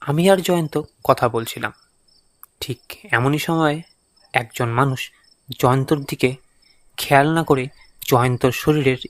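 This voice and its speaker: A man narrating a scripted horror story in the first person, with a suspenseful, expressive, dramatic delivery. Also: on the high side, no echo.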